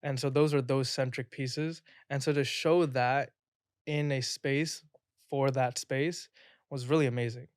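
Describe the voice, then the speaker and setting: Man, smooth resonant voice, relaxed, enthusiastic, dry close mic, podcast guest. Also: medium pitch, measured pace, dry recording. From Pakistan. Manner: relaxed, enthusiastic, frequent "like" fillers. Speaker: guest artist. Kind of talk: casual podcast conversation.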